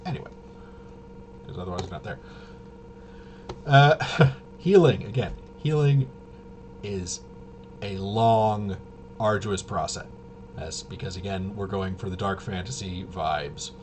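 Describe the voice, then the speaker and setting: Lowish voice guy rambling thru game rules off the cuff, kinda halting, noisy rumbly audio. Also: darkish tone. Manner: halting, rambling, thinking aloud. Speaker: tabletop RPG reviewer and explainer. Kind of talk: casual unscripted rules walkthrough. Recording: clearly noisy with low rumble.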